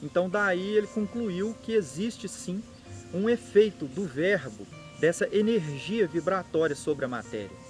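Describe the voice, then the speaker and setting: A Brazilian man hosting a channel, explaining at an unhurried pace and thinking aloud with small restarts. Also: high voice, lively intonation, a little mellow, soft background music. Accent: Brazilian Portuguese. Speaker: occult channel host. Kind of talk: explanatory monologue.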